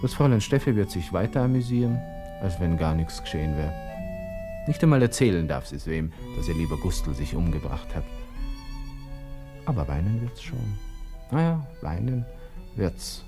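An actor playing a proud, agitated young army lieutenant with a Viennese accent, talking to himself in a radio drama. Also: male voice, sits low, big pitch swings, normal speed, long pauses, over music. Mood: bitter self-pity. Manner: brooding, talking to himself in clipped bursts. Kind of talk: radio drama interior monologue.

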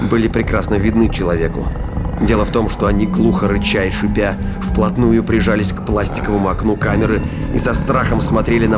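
A man narrating a science fiction thriller audio drama in a scripted, dramatic storytelling style. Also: lowish voice. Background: prominent music and sound effects.

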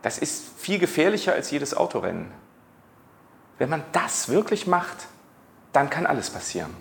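Creaky, expressive man musing aloud. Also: a little high, normal speed. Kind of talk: reflective monologue.

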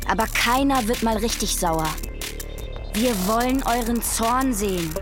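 A woman's voice: on the high side, a little slow, close to an everyday voice. A woman playing a defiant young activist in a radio drama, speaking in rousing, slogan-like phrases. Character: defiant young female activist stirring up rebellion. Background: very loud music.

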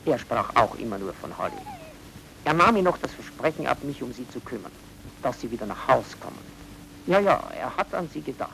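An actor playing an obliging but shady Viennese baron in a radio drama, with a courteous, theatrical, evasive manner. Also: male voice, medium pitch, very animated, a little fast, vocal fry, fairly bright tone, a little hiss or hum, low-quality recording. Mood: ingratiating.

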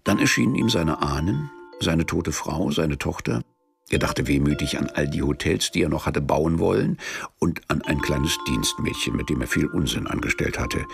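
A man narrating a literary radio crime drama in a measured, deadpan manner, as a cool, sardonic storyteller. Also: a bass voice, vocal fry, heavily acted. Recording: music under the voice.

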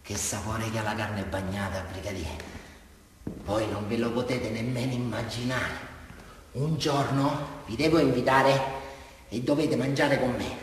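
A man's voice: mid-range voice, fairly even intonation, measured pace, natural voice. An actor playing an unhinged, boastful southern Italian prisoner confessing to a policeman in a rambling theatrical monologue. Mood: creepy, subdued. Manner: rambling, volatile, repeats himself. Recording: echoey hall, distant mic, faint noise.

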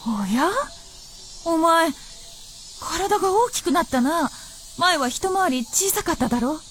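A lofty elder fox princess in a radio drama. Her voice sits high and she speaks slowly, with archaic, knowing superiority. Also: animated, fairly bright tone, slight background noise, heavily acted. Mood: teasing, curious. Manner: slow, archaic, superior speech. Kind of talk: Japanese radio drama acting.

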